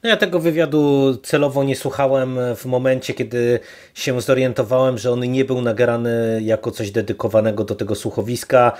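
Mid-pitched man casually reviewing on a podcast. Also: fairly even intonation, moderate pace, a little mellow. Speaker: podcast co-host.

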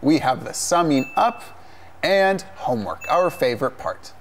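A young man co-hosts an English lesson in a TV studio. He speaks as a native English speaker, friendly and upbeat. His pitch rises and falls widely. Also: very animated, normal speed, slight background noise. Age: young adult. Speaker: English teacher co-host. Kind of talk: televised language lesson. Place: TV studio set.

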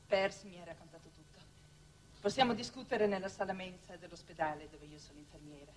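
An actress playing a matter-of-fact young nurse who is envoy of a colony, in 1960s Italian television drama style. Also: female voice, lowish voice, a little fast, close to an everyday voice. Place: television studio stage. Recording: noisy old 1960s television soundtrack.

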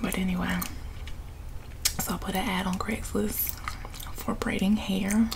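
A woman's voice: medium pitch, poor audio. Whispering chatty young woman. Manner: chatty, laughing, slangy. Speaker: ASMR vlogger. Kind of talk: whispered ASMR ramble. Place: living room with couch, indoors.

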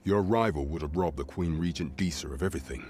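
A man playing a solemn, deferential royal informant in a fantasy game, giving measured, formal archaic exposition. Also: sits low, a little mellow, slightly rough. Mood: grave, confiding. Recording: music behind the voice.

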